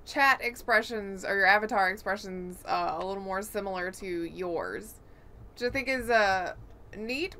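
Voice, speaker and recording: A woman's voice: medium pitch, sharp tone. A woman co-hosting a podcast, presenting news items in casual, conversational speech. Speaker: podcast co-host. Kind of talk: casual panel chat presenting gaming news.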